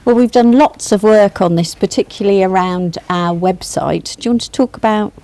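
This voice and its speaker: A woman on the university's senior team answering student questions on a panel, measured and reassuring, often restarting her sentences. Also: mid-range voice, dark tone.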